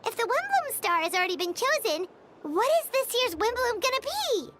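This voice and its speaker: A woman voicing a tiny, excitable childlike fairy girl in a bubbly, exclamatory way for a video game fan dub. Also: very high-pitched, normal speed, heavy background noise. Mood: excited.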